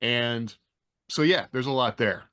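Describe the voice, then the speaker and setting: A man's voice: medium pitch, a little slow, pushed and bright, smooth, no room sound, studio quality. A middle-aged man hosting a podcast in a casual panel style. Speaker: podcast host. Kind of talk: casual panel talk with rehearsed introductions.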